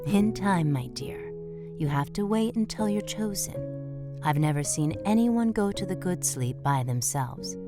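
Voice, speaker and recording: A gentle, wistful mother mouse, acted by a woman, speaks in a low voice with patient reassurance. It is audio drama dialogue, with guitar music playing clearly behind. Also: animated, unhurried. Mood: patient, soothing.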